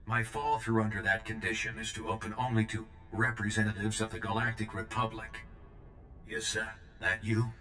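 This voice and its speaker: An actor playing an ancient, formal, literal-minded security droid in a video game, with robotic processing on the voice. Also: male voice, fairly low pitch, moderate pace, roomy sound, soft background music. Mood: formal, flat. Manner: formal, procedural, flat delivery. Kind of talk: game character dialogue.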